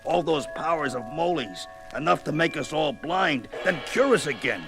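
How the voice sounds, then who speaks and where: A gruff, brawling superhero with a gravelly voice, narrating like a sardonic tough guy full of slangy wisecracks. It is 1970s record drama acting, with dramatic music clearly behind him. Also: on the high side, lively intonation, normal speed. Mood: musing, determined.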